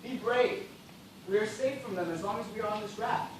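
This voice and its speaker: A man on stage playing an anguished, bitter gentleman survivor of a shipwreck, declaiming in melodramatic style. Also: sits high, brisk, close to an everyday voice. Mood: grimly resigned. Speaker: stage actor. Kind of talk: stage play dramatic monologue. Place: theatre stage, recorded from afar. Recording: very echoey stage, distant mic, loud noise.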